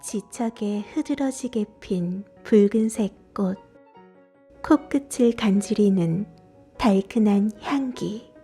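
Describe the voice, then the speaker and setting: A woman acting in an audio drama as a gentle, agreeable librarian with an unhurried, lyrical delivery. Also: medium pitch, soft background music.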